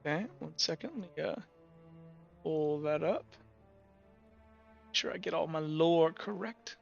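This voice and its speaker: A man's voice: on the high side, measured pace, vocal fry, muffled recording, soft background music. A man who narrates as game master, describing scenes in a loose, rambling way full of "like".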